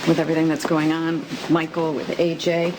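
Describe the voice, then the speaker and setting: A woman's voice: sits low, completely flat delivery, fairly quick, lightly acted. A woman playing a frank, protective friend in a soap opera. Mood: concerned, firm. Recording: noticeable background noise.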